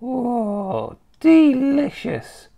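A woman's voice: a little high, big pitch swings, very slow, vocal fry, narrow, tinny audio, pitched above the performer's own voice, full character voice. A woman voicing a nasty, bossy, gleefully wicked tiny old grandmother in a children's storybook, with a snappish, scornful, emphatic delivery.

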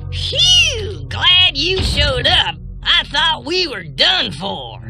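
A woman's voice: on the high side, a little slow, loud music behind the voice. A woman voicing a scatterbrained, feisty chicken-like townsperson in a western video game, with a rustic American drawl and a squawky voice. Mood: relieved, excited. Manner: folksy, excitable.

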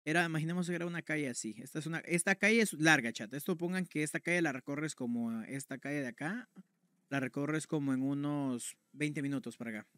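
A man's voice: fairly high pitch, fast, bright, forceful tone, no room sound, studio quality. A young man streaming from a room at home, casually telling a personal story to his chat in a slangy, conversational way. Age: young adult. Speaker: game streamer.

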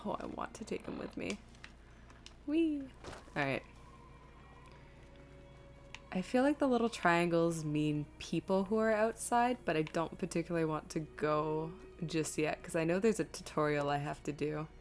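A woman's voice: low voice, expressive, normal speed. A woman giving playful, chatty, casual commentary as she streams a game.

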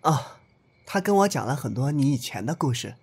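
A man playing a gentle, wistful young man in love in an audio drama, speaking softly and earnestly. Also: mid-range voice, very animated, fairly quick. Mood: probing. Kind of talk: Chinese audio drama acting. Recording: reverberant, with music behind.

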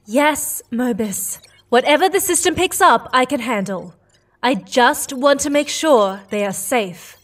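Determined alien mother played by a woman, high and insistent, slightly distant mic, audio drama. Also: expressive, a little slow. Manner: earnest, insistent. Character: worried, determined alien mother on a space mission. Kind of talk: sci-fi audio drama acting.

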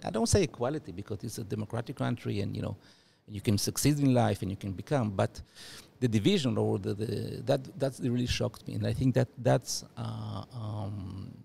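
Man, 40s, from Uruguay, gravelly and low, halting guest speech into a studio mic. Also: mellow. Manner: halting, many restarts and fillers. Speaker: guest, former diplomat. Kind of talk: podcast interview. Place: studio office room. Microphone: studio mic with headphones.

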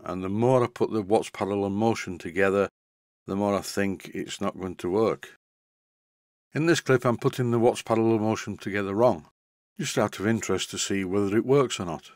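A man's voice: lowish voice, no echo. An older man narrates a workshop tutorial in a scripted voice-over, with dry, self-deprecating humour. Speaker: model engineering tutor.

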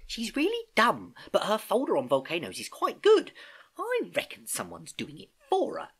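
An earnest, curious schoolgirl from a storybook, put on by the narrator. Her voice sits high and breathy, with swooping questions and ready exasperation. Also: huge pitch swings, moderate pace, fairly bright tone, heavily acted. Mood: chatty. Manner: questioning, eager.

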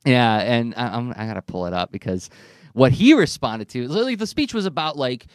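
A man's voice: medium pitch, lively intonation, brisk, no room sound. Man hosting, close dry sound. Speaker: podcast host. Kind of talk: conversational podcast discussion. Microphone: close studio microphone on an arm, with headphones.

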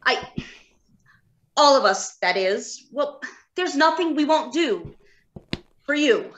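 A woman playing an earnest, uneasy doctor of robot science in a radio drama, deliberate and emphatic. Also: sits high, lively intonation, fairly bright tone, slight background noise, full character voice. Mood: uneasy, confessing. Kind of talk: old-time radio drama acting. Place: home, remote video call.

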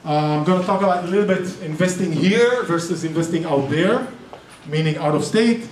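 Brief: Israeli man, middle-aged, casual seminar presenter, slightly high voice, recorded from afar in an echoey room. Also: moderate pace. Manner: chatty, self-interrupting, joking asides. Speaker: real estate investing presenter and group organizer. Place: meeting room with projector. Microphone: far camera. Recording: roomy echo, distant camera, faint noise.

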